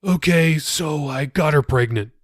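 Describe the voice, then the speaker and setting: A man's voice: fairly high pitch, unhurried, dry recording, studio quality. A man voicing a sullen, troubled young man who talks in a curt, guarded, defensive way in an audiobook. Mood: confessing.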